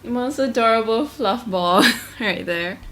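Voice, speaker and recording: A woman's voice: fairly high pitch, slow pace, a bit bright, slight background noise. A woman in her twenties, a guest streamer who chats casually, asks a lot of questions and laughs often. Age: 20s. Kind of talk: casual chat.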